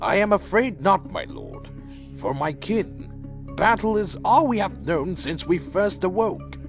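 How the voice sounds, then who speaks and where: An actor playing a troubled, earnest vampire leader who speaks formally and solemnly in an audio drama. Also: male voice, high-pitched, sing-song, unhurried, soft background music, exaggerated voice. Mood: deferential, pleading. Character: earnest, troubled male vampire clan leader, proud yet deferential. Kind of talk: audio drama narration and dialogue.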